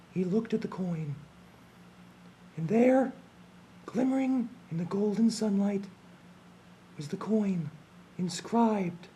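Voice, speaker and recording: Slow deliberate male storyteller. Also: high-pitched, warm tone, slight background noise. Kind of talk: slow dramatic fairy-tale narration.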